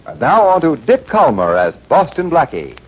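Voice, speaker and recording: Husky, lively male radio announcer. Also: fairly high pitch, moderate pace. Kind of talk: scripted 1940s radio announcing. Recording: muffled 1940s radio recording.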